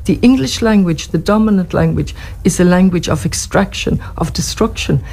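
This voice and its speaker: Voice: medium pitch, normal speed, vocal fry, darkish tone. An interview guest from Ireland with an Irish accent, speaking passionately and thoughtfully, often restarting phrases. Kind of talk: earnest conversational interview answers.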